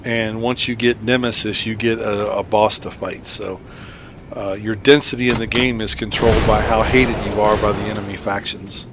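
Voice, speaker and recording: A man's voice: flat delivery, moderate pace. A man giving casual playthrough commentary as the host of a gaming video. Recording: clear background game noise, rumbling effects.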